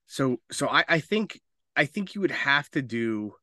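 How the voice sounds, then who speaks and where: A man's voice: medium pitch, sing-song, a little slow, pushed and bright, dry recording, studio quality. A man chatting casually as a podcast co-host, informal and rambling, given to swearing. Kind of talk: casual chat about video game movies.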